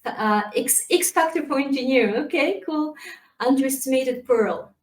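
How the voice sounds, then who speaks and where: A woman with a slightly high voice gives a lively, casual conference presentation, chatty and full of fillers. She is heard from a distance in a roomy space through a laptop mic. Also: moderate pace, slight background noise. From Kazakhstan. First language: Kazakh. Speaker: community manager giving a talk.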